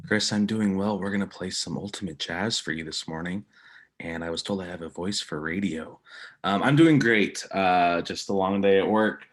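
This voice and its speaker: A man's voice: sits low, normal speed. A young man co-hosting a casual sports podcast, upbeat and eager when telling a story. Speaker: podcast co-host.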